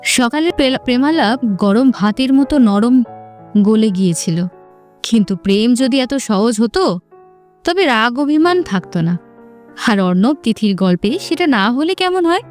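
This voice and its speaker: A woman's voice: on the high side, expressive, moderate pace, faint music behind. A woman narrator reading a scripted romantic story.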